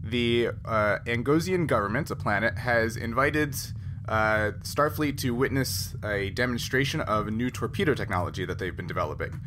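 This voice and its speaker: A man narrating a tabletop game as game master in a casual, halting, explanatory way. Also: mid-range voice, lively intonation, fairly bright tone. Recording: clearly noisy group recording.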